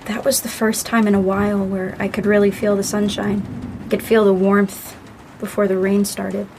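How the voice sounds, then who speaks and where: Actress as a guarded young grieving daughter. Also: female voice, medium pitch, little pitch movement, moderate pace, darkish tone, slight background noise, lightly acted, poor audio. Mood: wistful, subdued. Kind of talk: naturalistic audio drama acting.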